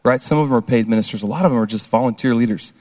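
A hoarse man giving a casual testimony talk at a recovery ministry service, throwing questions out to the crowd. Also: medium pitch, rapid-fire, darkish tone. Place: large church hall with an audience. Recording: long hall reverb.

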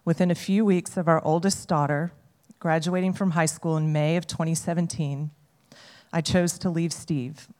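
A woman reading a prepared personal testimony to a group, in an earnest, measured way. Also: sits low, fairly even intonation, darkish tone. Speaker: speaker sharing a testimony. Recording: long hall reverb.

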